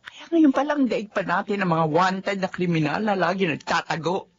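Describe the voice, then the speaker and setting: A woman's voice: mid-range voice, moderate pace, slightly rough, natural voice. A woman playing a mother hiding a secret love affair, defensive and guarded, also narrating in first person in a slightly muffled radio drama.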